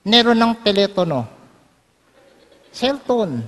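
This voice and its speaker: A man voicing a cheeky, dim-witted schoolboy puppet who gives cocky, deadpan comebacks in a comic stage dialogue. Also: high voice, slow pace, full character voice. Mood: boastful. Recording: echoey hall.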